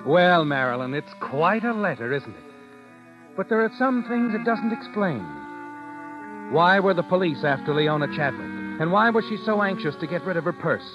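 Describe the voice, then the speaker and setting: An actor playing a sly, knowing narrator who needles in an insinuating way, in an old-time radio mystery. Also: male voice, sits high, animated, normal speed. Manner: insinuating second-person address. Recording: muffled vintage radio broadcast. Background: music.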